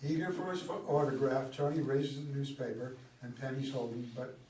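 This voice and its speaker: A man's voice: medium pitch, brisk, dark tone. A man reading stage directions as narrator of a scripted play. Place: indoor room at a live reading. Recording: echoey room, distant mic, faint noise.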